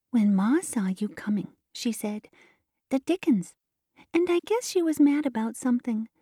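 A woman's voice: on the high side, dark tone, no room sound, clean studio sound, voice pushed up. A woman voicing a chatty, guileless little girl who blurts out tales in a storybook reading.

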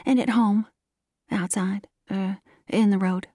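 A woman voicing a demure young country woman in a storybook, speaking in a monotonous recitation with rustic dialect. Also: medium pitch, slow, no echo, studio quality. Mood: flat, reciting.

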